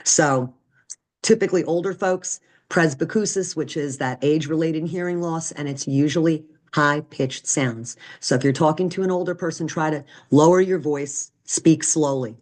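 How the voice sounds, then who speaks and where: Woman, deep low voice, nursing instructor, chatty lecture, keeps asking "okay?". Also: moderate pace, a little mellow. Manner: conversational, frequent "right?" and "okay?" check-ins. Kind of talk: recorded lecture.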